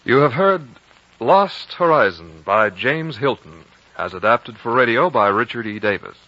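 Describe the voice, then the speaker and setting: A man's voice: mid-range voice, lively intonation, measured pace, dry recording. A man with an American accent reading a formal, polished script as a 1950s radio network announcer. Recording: dull vintage radio broadcast.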